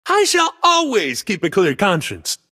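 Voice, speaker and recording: A man voicing a brave, jolly, dutiful panda assassin in a video game, with husky, theatrical, emphatic short declarations. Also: sits high, wildly swooping pitch, a little slow, pushed and bright, full character voice. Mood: wry, playful. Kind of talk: video game character voice lines.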